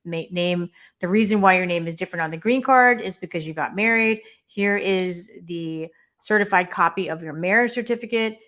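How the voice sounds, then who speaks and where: A woman co-hosting a live immigration Q&A stream, chatting and giving quick practical tips. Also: lowish voice, a bit bright. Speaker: immigration lawyer co-host.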